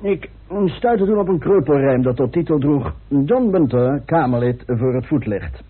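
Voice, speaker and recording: A man's voice: mid-range voice, lively intonation, measured pace, breathy edge. A man acting in a Dutch radio play as an eager young newspaper reporter with a theatrical delivery. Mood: earnest. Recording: muffled, tinny old radio recording.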